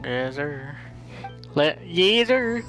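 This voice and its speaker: A man's voice: fairly high pitch, lively intonation, extremely slow, music in the background. A man giving casual live commentary as a game streamer.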